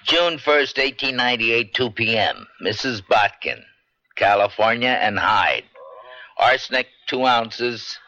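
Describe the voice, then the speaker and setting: A man's voice: mid-range voice, a little slow, pushed and bright. A man acting an earnest, nervous drugstore clerk giving testimony in a radio drama, hesitant and deferential. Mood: earnest, careful. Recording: dry, dull vintage radio broadcast.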